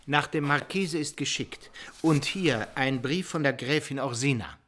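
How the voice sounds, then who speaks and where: Actor as formal, dutiful royal manservant. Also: male voice, medium pitch, unhurried, a bit bright, lightly acted. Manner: formal, clipped announcements. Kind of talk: 1950s German radio drama acting.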